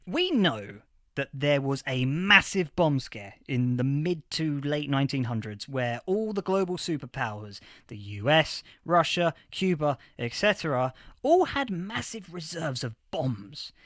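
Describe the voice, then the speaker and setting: A man playing an eager, flustered history professor in a fiction podcast interview, stammering and repeating words excitedly. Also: fairly high pitch, measured pace, no room sound, clean studio sound. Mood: earnest, lecturing. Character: eager, flustered male history professor of a far-future age. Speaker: interviewed academic expert.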